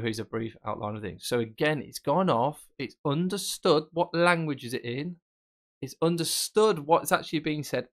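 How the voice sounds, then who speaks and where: A man presenting a screen-recorded software tutorial, explaining casually and thinking aloud with fillers. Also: medium pitch. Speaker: tech presenter.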